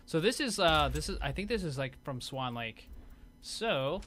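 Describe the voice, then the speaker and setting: Bright-voiced man doing casual gaming commentary. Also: fairly high pitch, big pitch swings, measured pace, a little hiss or hum. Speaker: gaming video host. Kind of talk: casual commentary over game footage.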